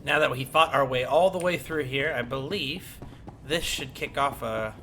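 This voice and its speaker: A man's voice: mid-range voice, moderate pace, bright, forceful tone. A man doing casual, playful gameplay commentary as a streamer, chatting to himself and his viewers. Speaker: game streamer. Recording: clear background noise over commentary.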